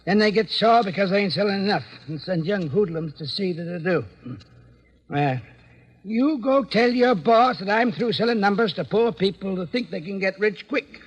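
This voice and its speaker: An actor playing a stubborn, frightened old candy store owner in a radio drama, husky and indignant in plain working-class speech. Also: male voice, high-pitched, moderate pace, rough recording. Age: older. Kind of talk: 1940s-50s radio acting.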